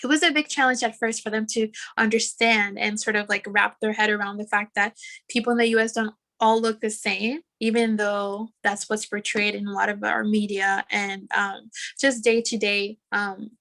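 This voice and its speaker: A woman from New York City on a panel, telling a story in a lively way and acting out the dialogue. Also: medium pitch, moderate pace, vocal fry. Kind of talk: casual panel chat.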